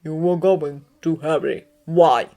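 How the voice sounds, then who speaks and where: A woman voicing a calm, grave old male headmaster who speaks in a measured, gently firm way. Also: sits low.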